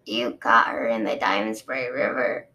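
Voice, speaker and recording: A woman voicing a grave male dragon guard, speaking low and measured. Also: fairly even intonation, bright, forceful tone, heavily acted. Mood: earnest. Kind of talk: audiobook character reading.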